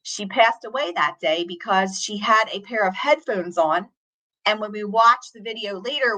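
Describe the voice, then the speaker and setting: A woman teacher and author, a guest on an interview show, telling a story in an earnest, steady, reflective way. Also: mid-range voice, low-quality recording.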